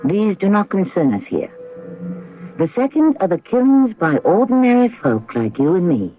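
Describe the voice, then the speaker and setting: A male radio drama host narrating in a deliberate, ominous way. His voice sits fairly high and moves expressively, over faint music on a tinny broadcast. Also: very animated.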